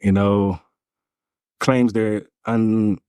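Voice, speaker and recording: Hesitant low-voiced man in his forties. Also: steady tone, drawn-out words, smooth, no echo. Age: 40s. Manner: soft, hesitant, many fillers. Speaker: vlogger telling his own story. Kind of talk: confessional vlog.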